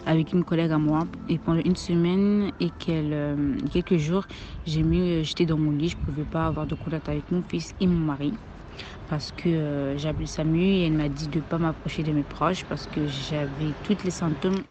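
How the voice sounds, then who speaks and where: Low-voiced woman, street noise behind. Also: fairly even intonation. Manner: plain, halting, with fillers. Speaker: essential worker interviewee. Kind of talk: personal testimony. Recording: dull lo-fi with traffic noise.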